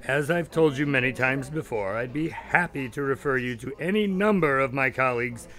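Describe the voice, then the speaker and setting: A man's voice: medium pitch, normal speed, fairly bright tone, close to an everyday voice. An actor playing a polite doctor who narrates and grows exasperated with his friend in a radio drama.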